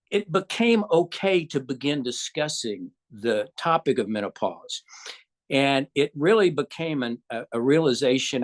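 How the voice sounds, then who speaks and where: An older man with a Southern US accent explains things as a guest doctor. His voice sits at an ordinary middle pitch, and he speaks in a careful, measured way, pausing on fillers and restarting his sentences. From Southern United States. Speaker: physician guest. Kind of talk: conversational interview answers.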